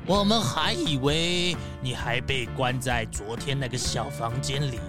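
A man voicing a theatrical aristocratic bat count with a drawn-out, slightly sinister manner in a children's audio drama. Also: medium pitch. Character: theatrical aristocratic male bat count, formerly castle owner.

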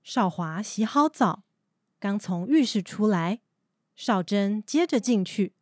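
A woman narrating a family radio drama in a calm, measured way. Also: mid-range voice, no echo, studio quality. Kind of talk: scripted storytelling narration.

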